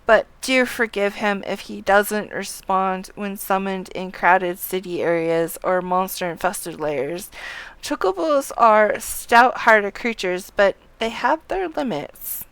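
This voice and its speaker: A woman reading aloud the fantasy game lines of a courteous, formal male stable clerk, in a polite, measured manner. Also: mid-range voice, a bit bright, clear, resonant tone, no room sound, poor audio.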